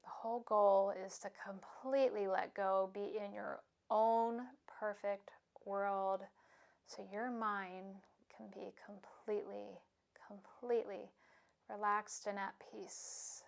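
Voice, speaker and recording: A woman yoga instructor giving calm, soothing, unhurried cues for stretching, breathing and meditation. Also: mid-range voice, vocal fry.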